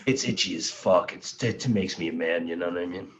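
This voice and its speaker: A man's voice: sits low, fairly quick. A man co-hosting a podcast with blunt, jokey, profane banter. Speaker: podcast co-host. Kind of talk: casual banter. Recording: muffled, noisy lo-fi mic.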